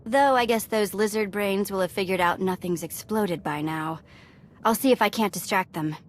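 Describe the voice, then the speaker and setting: A woman's voice: mid-range voice, normal speed, lightly acted. An actress playing a flippant, slangy female thief and saboteur in a video game.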